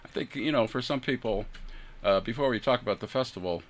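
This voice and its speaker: A man hosting a radio show, speaking haltingly with many "uh" fillers. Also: medium pitch. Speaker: radio host. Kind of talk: casual radio interview. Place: radio studio.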